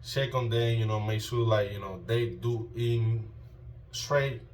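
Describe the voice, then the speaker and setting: A Colombian man with a lowish voice hosts a casual vlog, recorded a little away from the mic. His Spanish-accented speech is slangy and informal, circling back over phrases with lots of "you know". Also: steady tone, slow, a little mellow, recorded from a distance, slight background noise. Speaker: YouTube host.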